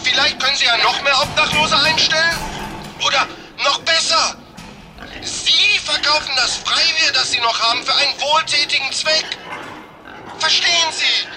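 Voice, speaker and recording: Eager, very high-voiced campaign adviser. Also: male voice, fairly even intonation, normal speed, background noise. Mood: eager persuading. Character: eager, earnest male campaign marketing adviser. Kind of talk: satirical radio play acting.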